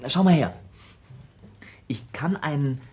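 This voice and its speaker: A man's voice: on the high side, expressive, takes it slowly, darkish tone, slightly rough, heavily acted. An actor playing a confident male computer insider in a German radio play, explaining things matter-of-factly. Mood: matter-of-fact, assured. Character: Chris, confident young male computer insider showing a secret control room.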